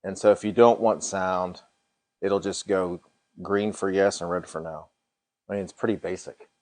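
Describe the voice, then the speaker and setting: Low-pitched American man hosting a livestream. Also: steady tone, moderate pace, darkish tone. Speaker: host of a paranormal livestream. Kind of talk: casual livestream chat and product unboxing.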